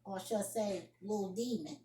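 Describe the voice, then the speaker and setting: A woman's voice: mid-range voice, moderate pace, dark tone, close to an everyday voice. A woman playing an indignant young woman scolding someone over the phone in an amateur solo drama, emphatic and repeating her phrases. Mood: accusing. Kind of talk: amateur solo acted monologue, one side of a phone argument. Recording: roomy echoing home recording.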